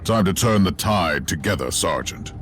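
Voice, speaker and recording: An actor playing a stern, zealous, battle-hardened inquisitor in a video game, solemn and commanding, speaking formally. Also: male voice, deep voice, expressive, moderate pace, heavy background noise. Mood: grave, commanding. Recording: loud music behind the voice.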